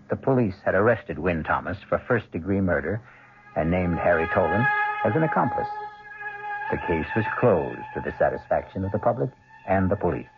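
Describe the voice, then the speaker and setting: An ominous male mystery host narrates with a deep, dramatically swooping voice. He is deliberate and gravelly, on a tinny 1970s radio broadcast with music behind him. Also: heavily acted.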